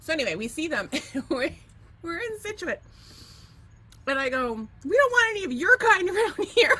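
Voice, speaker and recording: Lively, laughing woman co-host. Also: extremely high pitch, moderate pace. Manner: animated storyteller, laughs often, swears freely. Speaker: podcast co-host. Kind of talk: casual chat.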